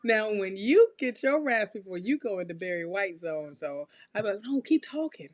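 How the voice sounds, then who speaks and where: A hoarse woman with a raspy voice, chatting casually and laughing on a live stream, calling people honey. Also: medium pitch, lively intonation, moderate pace. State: hoarse, recovering from illness. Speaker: tarot reader co-host. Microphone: desk mic for live stream.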